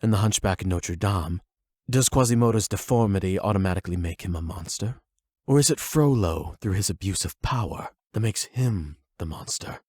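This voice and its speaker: Man performing male therapist, erudite, measured, formal, lowish pitch, dry close recording, anime roleplay. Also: husky, no echo. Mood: probing. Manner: measured, formal, probing, gentle. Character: calm, erudite male therapist and demi-human expert. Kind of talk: anime audio roleplay acting.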